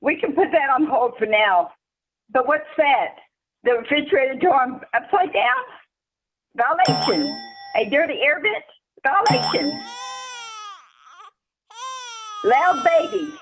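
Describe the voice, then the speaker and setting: A woman's voice: high-pitched, animated, a little slow, soft background music, rough recording. A woman playing a greedy, officious property manager in an amateur radio play, smug and falsely sympathetic. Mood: smug, pouncing.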